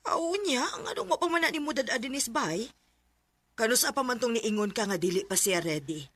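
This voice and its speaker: A woman's voice: a little high, animated, brisk, a bit bright, dry recording. An actress playing a concerned, nagging middle-aged mother in a Filipino radio drama, with a Bisaya accent. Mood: prying, teasing.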